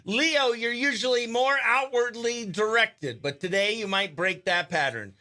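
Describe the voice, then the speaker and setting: A man hosting a radio show, chatting in an informal, excitable, slangy way. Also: high-pitched, a little slow. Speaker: radio host. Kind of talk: casual behind-the-scenes chat and upbeat radio interview.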